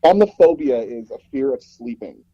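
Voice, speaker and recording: A man being interviewed as a guest, telling a story casually and pausing mid-sentence as he goes. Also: mid-range voice, animated, warm tone, no echo, dull audio. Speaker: interview guest, audio drama producer.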